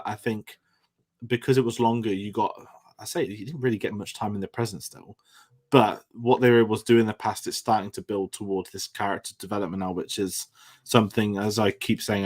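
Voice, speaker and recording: Fast-talking man co-hosting. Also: on the low side. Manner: chatty, upbeat, rambling. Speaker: co-host. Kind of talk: casual chat.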